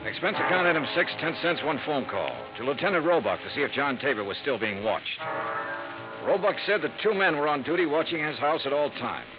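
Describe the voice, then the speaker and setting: An American actor playing a cool, probing insurance investigator in a 1950s radio noir drama, clipped and matter-of-fact. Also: male voice, on the high side, lively intonation, brisk, over music, close to an everyday voice. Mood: matter-of-fact narration. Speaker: lead actor and narrator. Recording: muffled, noisy vintage radio recording.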